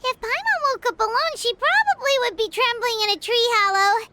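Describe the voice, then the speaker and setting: A woman's voice: very high voice, brisk, heavy background noise. A woman voicing a small, childlike, chatty and easily spooked fairy companion in a video game, exclamatory in manner. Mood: relieved, curious. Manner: refers to self in third person, exclamatory. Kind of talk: cartoonish video game voice acting.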